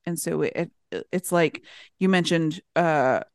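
A woman's voice: low voice, unhurried, darkish tone, clear, resonant tone, dry recording, clean studio sound. A woman co-hosting a podcast, chatting casually in a playful, slangy, emphatic way. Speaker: podcast co-host. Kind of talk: casual, animated chat.

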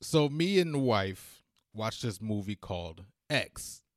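A man's voice: fairly low pitch, big pitch swings, takes it slowly, no room sound, clean studio sound. A man co-hosting a casual talk show, who is losing his voice and talks loosely with fillers and slang. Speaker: podcast co-host. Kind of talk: casual chat.

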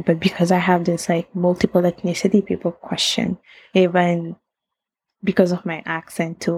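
A woman's voice: on the low side, darkish tone, husky, dry recording. A young woman with an Italian accent, reflective in a casual interview, laughing often and restarting sentences. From Italy. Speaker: guest.

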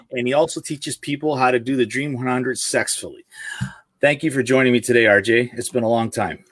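A man hosting a casual live podcast interview, halting and rewording his questions as he goes. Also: mid-range voice, dry recording. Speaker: podcast host.

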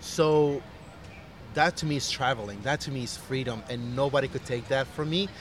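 Earnest chef talking about freedom. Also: male voice, medium pitch, normal speed, a little hiss or hum. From Costa Rica. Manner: earnest, reflective, with fillers and restarts. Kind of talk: casual interview conversation.

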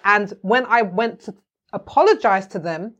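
British woman hosting a panel chat, enthusiastic, mid pitch. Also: lively intonation, moderate pace, dull audio. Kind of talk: casual panel discussion.

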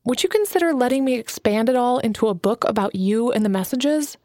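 A woman's voice: a little high, expressive, brisk, a little mellow, no room sound, clean studio sound, natural voice. A woman reading an audiobook, voicing an eager, earnest young woman writer who pleads and bristles. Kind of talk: audiobook character voice.

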